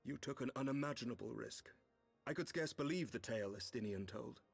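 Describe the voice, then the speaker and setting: An actor playing a dignified, noble knight commander in a fantasy video game, with stately archaic speech. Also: male voice, medium pitch, animated, fairly quick, gravelly, breathy edge. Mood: solemn, concerned. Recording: game audio with music behind.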